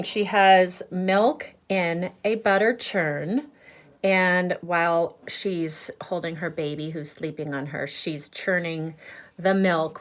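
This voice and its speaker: A woman reading a picture book aloud to children like a teacher, expressive and deliberate, pausing to explain things with simple asides and questions. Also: fairly low pitch.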